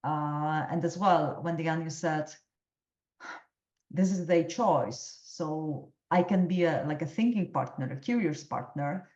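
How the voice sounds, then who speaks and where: A woman with a very low voice thinks aloud hesitantly in a discussion. The audio is echoey and muffled, as on a call recorded in a roomy space. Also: takes it slowly, darkish tone. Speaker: coaching supervisor in discussion. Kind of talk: reflective discussion.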